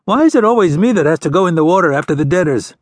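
A man's voice: on the high side, animated, racing, no room sound, voice pushed up. A man voicing a whiny young fisherman who complains. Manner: whining, complaining.

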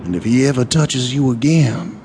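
An actor playing a cocky, possessive young farmhand lover in an audio drama, with a folksy way of talking. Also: male voice, mid-range voice, animated, moderate pace, creaky, background noise. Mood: dismissive, protective. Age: young adult. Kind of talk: intimate audio drama acting.

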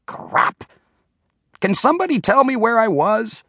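A man voicing a rambling, absent-minded old village congregation leader in an audiobook, halting and easily sidetracked. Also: very high voice, very animated, fairly bright tone, slightly rough, no room sound, studio quality, voice pushed up, heavily acted. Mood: flustered, embarrassed. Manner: halting, digressive, self-interrupting. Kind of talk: audiobook character voice.

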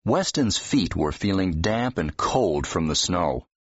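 A man narrates a novel in a low, husky voice. His reading is expressive, with wide melodic movement, and the recording is dry and a little dull. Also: measured pace, dry recording. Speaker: audiobook narrator. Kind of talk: expressive scripted fiction narration. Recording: dull, slightly muffled audio.

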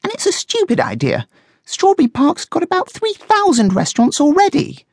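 A woman's voice: high-pitched, big pitch swings, normal speed, dry recording, studio quality, voice pushed up. A woman voicing a snooty, vain female guinea pig with a posh, disdainful manner in a children's storybook. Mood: scornful.

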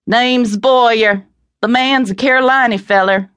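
A woman voicing a sharp-tongued backwoods mother in an audiobook, in blunt Southern rustic dialect. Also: a little high, measured pace, clear, resonant tone, no room sound, pitched above the performer's own voice. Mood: tart, complaining. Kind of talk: audiobook character reading.

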